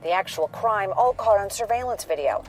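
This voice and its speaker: A woman narrating a news report in a measured, factual manner. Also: medium pitch, lively intonation, a little mellow, faint music behind.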